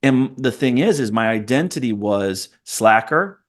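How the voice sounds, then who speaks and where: A man in his forties hosts a podcast, musing aloud and drifting into long stories with many fillers. His voice sits at an ordinary middle pitch. He sounds reflective and conversational. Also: expressive, measured pace. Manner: thoughtful, rambling, storytelling. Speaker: podcast host. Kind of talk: casual conversation.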